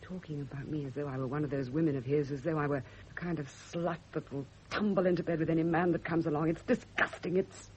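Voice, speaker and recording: A woman's voice: sits low, steady tone, fast, hardly any pauses, a little mellow, natural voice. An actress playing an embittered, sleepless, guilt-ridden woman in a classic radio drama, speaking with tense emotional intensity. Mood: indignant, outraged. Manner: intense, emotional, self-justifying. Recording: muffled, noisy old radio recording.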